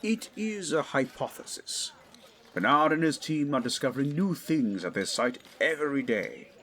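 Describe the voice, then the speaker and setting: A man's voice: fairly high pitch, lively intonation, moderate pace, fairly bright tone. Guy acting 1920s english archaeologist dad, middle aged, formal n professorial, really swoopy eager delivery, audio drama. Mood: scholarly.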